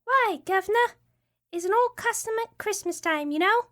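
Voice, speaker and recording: A woman voicing a chirpy, very high young caroler. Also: animated, moderate pace, a bit bright. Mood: cheeky. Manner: chirpy, cheeky. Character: cheeky young street caroler.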